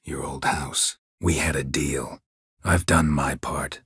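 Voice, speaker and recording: Here is a world-weary, stoic monster hunter from a fantasy video game. The voice is very deep and heavily rasped, recorded close and dry. Lines come out terse and deadpan, with a sardonic edge. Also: male voice, slow pace, no echo, exaggerated voice. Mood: deadpan, businesslike. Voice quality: low, gravelly rasp. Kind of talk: video game character dialogue.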